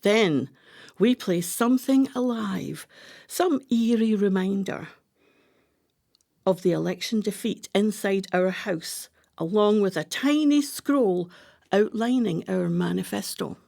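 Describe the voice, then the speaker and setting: A woman's voice: mid-range voice, takes it slowly, creaky, darkish tone. A woman playing a scheming, imperious, artistic retired wife in a radio play, with dramatic, emphatic delivery. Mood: excited, conspiratorial.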